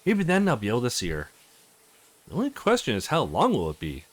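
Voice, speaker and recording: A man's voice: mid-range voice, huge pitch swings, fairly quick. A man hosting a let's play, reading visual novel dialogue aloud, acting out lines and adding casual commentary. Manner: acts out characters' lines with exclamations.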